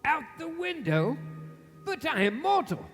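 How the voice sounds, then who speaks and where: In a ringing theatre, a man plays a querulous old male miser in a radio play. The voice is high and very animated, hesitant and fearful yet grumbling. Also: measured pace, exaggerated voice. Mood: wary, polite. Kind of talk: live radio play acting. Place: theatre stage, live performance at featured mics. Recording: echoey theatre stage, long reverb.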